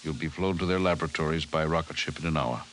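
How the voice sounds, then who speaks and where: An actor playing an authoritative president in 1950s radio drama style, formal and deliberate. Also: male voice, rumbling low, fairly bright tone, low-quality recording. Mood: resolute, somber. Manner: formal, deliberate, weighty delivery. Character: authoritative, decisive male president of a nation. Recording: hissy 1950s radio recording.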